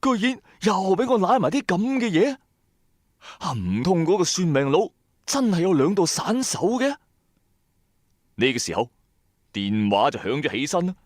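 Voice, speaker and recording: A man's voice: fairly high pitch, big pitch swings, moderate pace, long pauses, a little mellow, no echo. A man playing a bankrupt, hot-tempered trader who narrates his own story in colloquial, emphatic style for a radio drama. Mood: bitter, lamenting. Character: bankrupt male electronics trader, hard-pressed, hot-tempered, streetwise. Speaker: first-person narrator and lead. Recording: background music under voice. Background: music.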